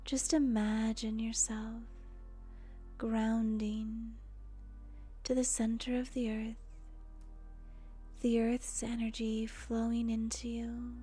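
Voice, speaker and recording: A woman leading a guided meditation in a soft, breathy, soothing voice that often trails into a near-whisper, deliberate and gentle with pauses. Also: a little high, flat delivery. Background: soft music.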